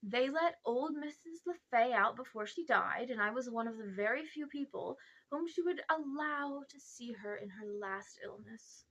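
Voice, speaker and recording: A woman's voice: on the high side, lively intonation, normal speed, fairly bright tone, poor audio. A woman voicing a pompous old uncle who dabbles in magic, condescending and evasive, in a storybook reading. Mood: secretive, confiding. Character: pompous, self-important old uncle, sly amateur magician.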